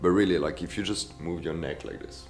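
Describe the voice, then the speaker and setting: A man's voice: low voice, brisk, soft background music. A man teaching as a voice coach in a casual video tutorial, enthusiastic and often checking in with "yeah?". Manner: enthusiastic, tags sentences with "yeah?".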